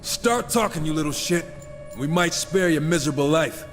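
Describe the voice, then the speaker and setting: A man's voice: on the high side, animated, moderate pace, soft background music, close to an everyday voice. A man playing a hot-headed, sarcastic warrior in a game cutscene, wry and swaggering. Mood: taunting. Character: cocky, sarcastic, hot-headed male horseman warrior gunslinger. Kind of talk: video game cutscene acting.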